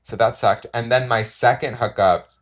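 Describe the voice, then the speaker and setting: A man's voice: medium pitch, fairly even intonation, moderate pace. Man chatting candidly on a podcast. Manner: candid, gossipy storytelling with frequent "like". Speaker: podcast co-host. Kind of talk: casual chat.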